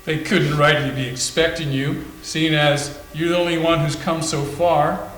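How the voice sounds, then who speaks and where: A man's voice: a little high, level tone, a little slow, a bit bright. A man playing a jeering, sullen, petulant caretaker with a taunting, grudging, folksy manner in a staged readers theater drama. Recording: echoey room recording.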